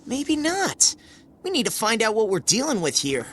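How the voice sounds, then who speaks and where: An actress playing a practical, informal and inquisitive young heroine in a video game. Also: female voice, on the high side, wildly swooping pitch, normal speed, a bit bright, slight background noise. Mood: pondering. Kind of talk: video game cutscene acting.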